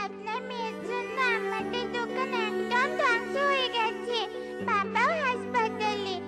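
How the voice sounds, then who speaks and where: A woman voicing an earnest, childlike young girl bird in a children's cartoon. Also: very high-pitched. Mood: indignant. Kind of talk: cartoon voice acting.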